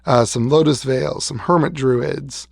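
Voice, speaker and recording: Gravelly-voiced man losing his voice. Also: mid-range voice, a little slow, no echo. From West Virginia. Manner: rambling, restarts, enthusing over old cards. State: losing his voice after being sick. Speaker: card shop owner showing a collection. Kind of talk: casual show-and-tell vlog.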